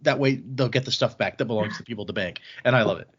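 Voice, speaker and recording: A man's voice: mid-range voice, racing, fairly bright tone, slightly rough, highs cut off. A man hosting a casual book podcast, recapping the story conversationally and loosely voicing characters' lines, with some swearing. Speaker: podcast host recapping a novel.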